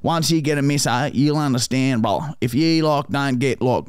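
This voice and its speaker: A man's voice: medium pitch, moderate pace, clean tone, no room sound, lightly acted. A man with an Australian accent playing a crude, pushy bloke on a prank call, swearing constantly and calling everyone mate and brother. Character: crude pushy bogan bloke, loud and pleading. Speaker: prank caller.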